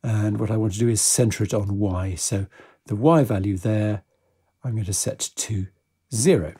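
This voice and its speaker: Gravelly, husky man giving a tutorial. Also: on the low side, normal speed, dark tone. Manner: methodical, informal. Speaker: tutorial presenter. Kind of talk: step-by-step software tutorial narration.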